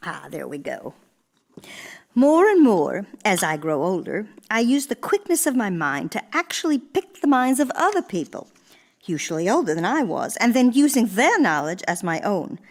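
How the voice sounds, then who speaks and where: An actress playing an elderly, gracious former First Lady, speaking in a measured, genteel, reflective way as in a stage monologue. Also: mid-range voice, sing-song. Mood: reflective, confiding. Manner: measured, genteel, deliberate storytelling. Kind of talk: theatrical one-woman monologue. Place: live radio theater performance in a church.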